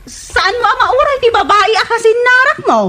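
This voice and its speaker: Woman acting a fed-up, high-pitched Ilocano girlfriend. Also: expressive, fairly quick, sharp tone, heavily acted. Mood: angry, scornful. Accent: Filipino, Ilocano. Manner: scolding, emphatic. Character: fed-up, scornful woman confronting her womanizing boyfriend. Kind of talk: radio comedy drama acting.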